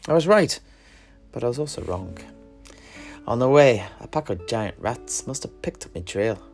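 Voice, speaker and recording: A man's voice: mid-range voice, animated, moderate pace, creaky, a little mellow, soft background music. A man playing a teasing, roguish alchemist in a fantasy audio drama, spinning tales in a colourful, theatrical way. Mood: wry storytelling. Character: roguish, teasing male alchemist and rat slayer.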